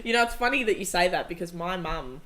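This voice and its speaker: A woman's voice: on the low side, animated, fast talker, a loud crowd. A woman hosting a casual comedy chat podcast, talking in a playful, chatty way with some swearing. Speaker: podcast host.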